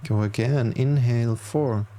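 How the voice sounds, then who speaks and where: A man teaching yoga nidra in a calm guided meditation, speaking gently and soothingly in measured instructions with long pauses. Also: medium pitch, a little hiss or hum.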